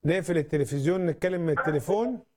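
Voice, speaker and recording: A man with an Egyptian accent speaks very quickly in a soft, mellow tone, slightly high for a man. He is a doctor fielding calls on a live medical advice show. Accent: Egyptian Arabic. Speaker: doctor answering callers on a TV phone-in show.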